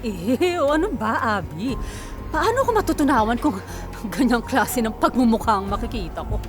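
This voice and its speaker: Haughty, swooping high-voiced office bully. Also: female voice, big pitch swings, moderate pace. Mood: scornful. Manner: contemptuous, taunting. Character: haughty, cruel young office woman, scornful bully. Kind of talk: Filipino radio drama acting. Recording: music bed under dialogue.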